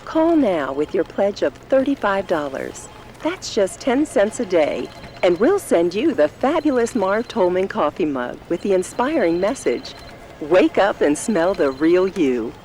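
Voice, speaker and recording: An actress playing a polished television pledge-drive announcer, crisply selling in scripted promotional style. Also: female voice, mid-range voice, very animated, a little slow, a little mellow, slight background noise. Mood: upbeat pitch.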